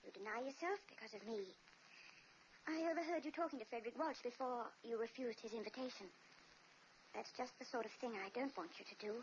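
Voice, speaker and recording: A woman's voice: a little high, brisk, dull audio, natural voice. An actress playing a tender, self-sacrificing invalid wife who coaxes her husband affectionately, in a 1940s radio play. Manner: affectionate, coaxing. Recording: hissy old radio recording.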